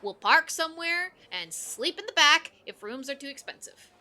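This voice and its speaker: A woman plays a persuasive young woman talking to her best friend in an audio drama. The voice is high and extremely bright. She speaks casually, coaxing and restless. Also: animated, normal speed, exaggerated voice.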